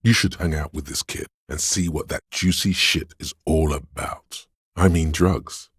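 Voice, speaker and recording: A man's voice: unhurried, a bit bright, no room sound, clean studio sound, exaggerated voice. A man voicing a video game character, a sleazy, hedonistic inner voice that craves drugs and thrills, insinuating and savoring its words theatrically. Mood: seedy, coaxing. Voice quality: very deep, gravelly, husky.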